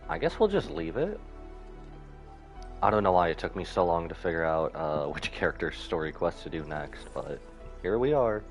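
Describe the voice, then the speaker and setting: A man's voice: deep voice, very animated, normal speed, soft background music. A man doing casual live-stream game commentary, rambling and thinking aloud as he goes.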